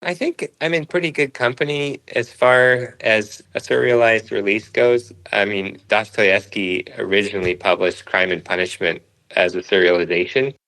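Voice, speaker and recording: A man's voice: lowish voice, steady tone, normal speed, few pauses, a bit bright, low-quality recording. A man speaking as an interview guest, a cartoonist explaining his craft in a thoughtful, conversational way. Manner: thoughtful, explains through analogies.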